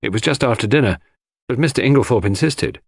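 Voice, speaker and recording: A man voicing an earnest, loyal gentleman sidekick with a hearty, old-fashioned chummy manner in a mystery audiobook. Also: mid-range voice, expressive, quick pace, vocal fry, breathy edge, dry recording, clean studio sound. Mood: candid.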